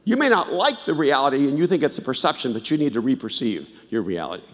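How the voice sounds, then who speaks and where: A middle-aged man from El Salvador preaching a sermon, swinging between loud emphatic bursts and low, intimate asides, and telling stories with frequent restarts. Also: big pitch swings, fast. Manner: conversational storytelling with repeated restarts. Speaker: pastor. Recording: long hall reverb.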